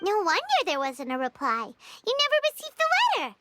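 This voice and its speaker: A woman voicing a tiny childlike fairy companion in an English anime game dub, with a squeaky, excitable, exclamatory delivery. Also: brisk, over loud music, heavily acted. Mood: chirpy, eager. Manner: exclamatory, speaks of self in third person.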